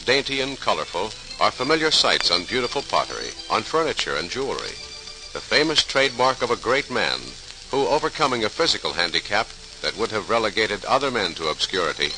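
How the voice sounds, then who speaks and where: A man's voice: mid-range voice, poor audio. A man narrating a scripted 1940s radio program in a formal, grand announcer style with dramatic emphasis. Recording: vintage 1940s radio transcription.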